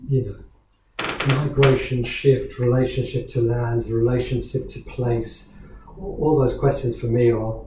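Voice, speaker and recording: A man's voice: medium pitch, warm tone, a little hiss or hum. A man from South Africa, an artist, talking thoughtfully and hesitantly about his work to a gallery audience, with many restarts. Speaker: artist in conversation. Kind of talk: gallery conversation. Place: small gallery room with seated audience. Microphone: far camera. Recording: echoey room from far off.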